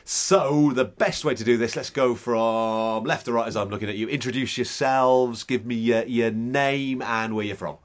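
A man's voice: mid-range voice, steady tone, normal speed, few pauses. A male radio presenter interviewing a group in a studio. He is chatty and self-deprecating, often stumbling and repeating words mid-question. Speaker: radio host. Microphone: studio microphone.

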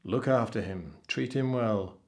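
A man voicing an invisible sea kobold from a storybook, calm and firm, with a measured delivery. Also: on the low side. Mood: calm, approving.

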